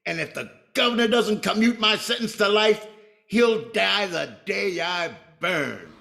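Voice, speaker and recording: High, creaky, menacing gangster. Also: male voice, lively intonation, a little slow, very noisy, full character voice. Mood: threatening. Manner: threatening, slangy tough-guy talk. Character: vengeful, menacing gangster condemned for murder. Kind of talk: old-time radio crime drama acting.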